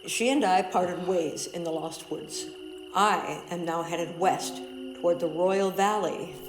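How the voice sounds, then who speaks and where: Woman doing a grave loyal guardian mentor for a fantasy audio drama, slow and solemn, roomy echo, music under it. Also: on the low side. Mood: urgent, grave. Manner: solemn, deliberate, formal delivery. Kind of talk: fan audio drama acting.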